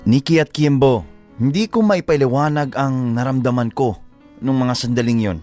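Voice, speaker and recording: A man's voice: mid-range voice, moderate pace, a little mellow, soft background music, natural voice. A man playing a reflective young Filipino who narrates his love story in a scripted, earnest first-person style. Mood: confessional. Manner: confiding, earnest.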